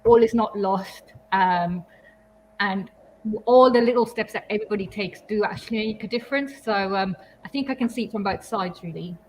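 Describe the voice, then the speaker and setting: A woman's voice: mid-range voice, normal speed, darkish tone, a little hiss or hum, poor audio. A young woman from Kenya, a marine biologist, talking thoughtfully and hesitantly in a casual livestream. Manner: thoughtful, hesitant, laughs often.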